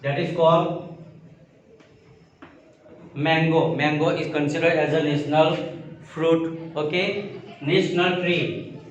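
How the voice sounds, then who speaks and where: A man's voice: on the high side, fairly even intonation, slow, long pauses, recorded from a distance. A man teaching a school lesson step by step, repeating key phrases and checking that students follow. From India. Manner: repetitive, step-by-step explaining with check-in questions. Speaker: school social science teacher. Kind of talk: classroom lesson for children. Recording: muffled, echoey room with noise.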